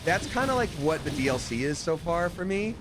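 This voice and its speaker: A man chatting casually and at length while streaming a video game, rambling along and jumping up in excitement. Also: on the high side, a little fast. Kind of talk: live gameplay commentary. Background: game music.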